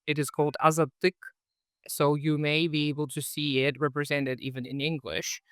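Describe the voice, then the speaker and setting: A man talks as a podcast guest from home, telling childhood stories in a friendly, reflective way. His voice is smooth and clear and sits a touch high. The sound is close and dry. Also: level tone, normal speed, dry recording. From Kazakhstan. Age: 30s. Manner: friendly, reflective storyteller with frequent "uh" and "so". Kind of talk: casual interview chat.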